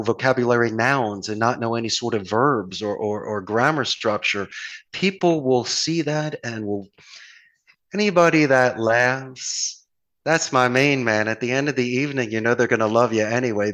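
A man with a Philadelphia accent giving friendly, rambling advice in a casual chat. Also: mid-range voice. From Philadelphia area, United States. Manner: animated, folksy, encouraging, rambling with restarts.

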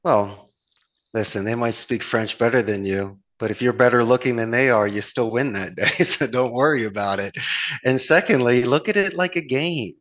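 A man's voice: mid-range voice, normal speed. A man with a Philadelphia accent giving friendly, rambling advice in a casual chat. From Philadelphia area, United States. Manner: animated, folksy, encouraging, rambling with restarts.

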